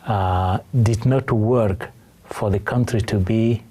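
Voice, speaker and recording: A middle-aged man with a Sudanese accent, giving a halting, measured personal account as an interview guest. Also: on the low side, fairly even intonation, warm tone, slight background noise.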